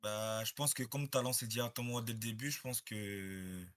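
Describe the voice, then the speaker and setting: A man speaking as a guest in a casual online group discussion, reflective and explaining his experience slowly, step by step. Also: medium pitch, fairly even intonation, a bit bright.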